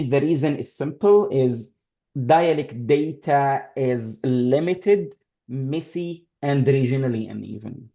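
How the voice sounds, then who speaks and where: A man with an Arabic accent answering as an expert panelist in a halting, deliberate manner with many pauses, over dull call audio. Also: medium pitch, dark tone.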